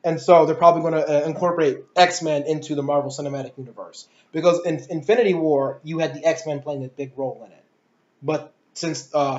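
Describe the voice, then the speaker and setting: A woman's voice: deep voice, a little mellow. A woman giving an opinionated, casual movie review, ranting emphatically with swearing and a rambling, exasperated delivery. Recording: roomy echo, dull lo-fi sound.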